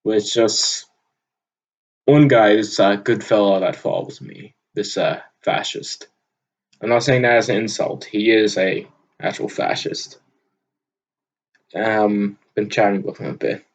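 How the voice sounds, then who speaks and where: A young man from Vermont with a loud, booming voice, talking off the cuff in an unscripted audio log, rambling with lots of filler words. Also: measured pace, pauses often, highs cut off. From Vermont, USA. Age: young adult. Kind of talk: unscripted casual monologue. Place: at his desk, indoors. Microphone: computer microphone on a desk.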